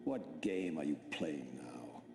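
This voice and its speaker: A man's voice: a bass voice, expressive, takes it slowly, gravelly, full character voice. A man playing a defiant, accusing undead wraith in a video game, speaking in a grave, formal, theatrical way. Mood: scornful, accusing. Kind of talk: dramatic video game cutscene acting. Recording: echoing hall, loud background noise.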